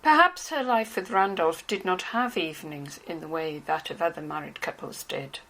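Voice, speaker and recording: A woman's voice: low voice, moderate pace, fairly bright tone, low-quality recording. A woman reading scripted literary narration as the narrator of a dramatized novel.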